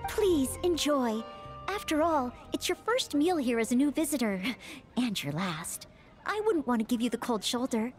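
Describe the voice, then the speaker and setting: Earnest, high-pitched young maid knight. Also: female voice, sing-song, normal speed, soft background music. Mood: polite, hospitable. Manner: formal, courteous, helpful. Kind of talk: anime game character dialogue.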